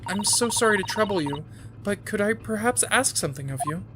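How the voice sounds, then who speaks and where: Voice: medium pitch, animated, fairly quick, background noise, natural voice. A player voicing a polite young student. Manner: courteous, formal, eager. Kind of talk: reading a video game character aloud.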